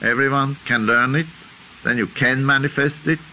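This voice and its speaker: A man's voice: mid-range voice, steady tone, moderate pace, pushed and bright, a little hiss or hum, poor audio. An older man with a Swiss accent giving an unscripted lecture, thinking aloud and tagging points with a questioning "huh?". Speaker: teacher.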